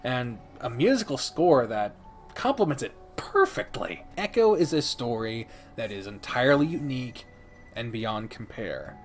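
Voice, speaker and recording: A man giving a casual, unscripted video review as host, speaking emphatically and theatrically with dramatic pauses. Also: on the low side, a little hiss or hum. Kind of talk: casual scripted-free game review commentary.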